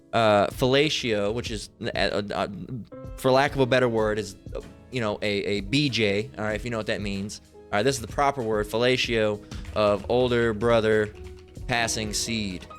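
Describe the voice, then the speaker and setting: A man reading verses aloud and commenting on them in a loose, profane way. He trips over hard words and thinks out loud while he searches for things. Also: fairly low pitch, normal speed, soft background music. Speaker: online religious commentator. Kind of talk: casual scripture reading and commentary.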